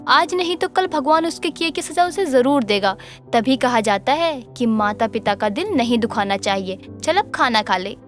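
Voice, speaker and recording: A woman voicing a caring, concerned village mother in an animated moral story. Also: fairly high pitch, moderate pace, faint music behind, close to an everyday voice. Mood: tender.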